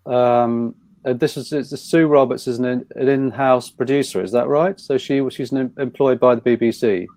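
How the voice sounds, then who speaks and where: A man hosts a live panel interview in a mellow, relaxed voice. He feels his way through his questions in a halting, conversational manner, restarting as he goes. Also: mid-range voice. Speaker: interviewer and event chair.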